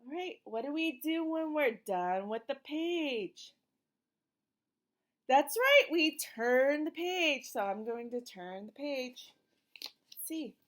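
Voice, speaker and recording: Female children's read-aloud teacher, animated swoopy intonation, unhurried, encouraging question-and-answer delivery. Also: high voice. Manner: simple, encouraging prompts with questions and slow, emphatic reading. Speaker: teacher reading a picture book to young children.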